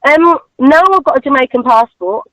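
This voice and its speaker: A woman's voice: high-pitched, normal speed, fairly bright tone, dry recording, poor audio. A woman with an East London Cockney accent talking casually as an interview guest, emphatic and given to repeating herself. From East London, England. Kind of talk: casual radio interview conversation.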